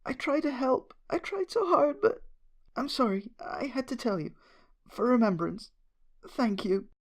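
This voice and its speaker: A man puts on a very high, gentle young pony mare for a storybook. She is grieving and tearful, and speaks in halting, apologetic bursts. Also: very animated, exaggerated voice.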